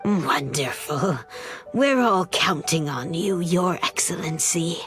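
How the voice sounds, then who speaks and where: A woman voicing a grave, hard-line follower in a video game, pleading formally and earnestly in a breathy, husky voice. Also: on the low side, slow, fairly bright tone, faint music behind. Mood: earnest, resigned.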